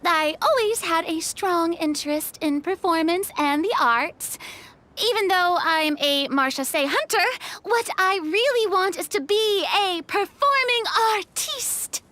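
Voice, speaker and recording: A woman's voice: very high-pitched, animated, a little slow, sharp tone, full character voice. A woman playing an earnest young noblewoman in an anime game, speaking with some hesitance. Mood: nervous, earnest. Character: earnest young noblewoman hunter who dreams of performing.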